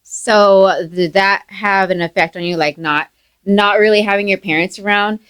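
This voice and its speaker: A woman's voice: medium pitch, steady tone, normal speed, fairly bright tone, clean tone, no room sound. A woman hosting a podcast, asking curious follow-up questions in a casual way. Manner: curious, asks follow-up questions, laughs easily. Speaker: podcast host. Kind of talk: casual interview.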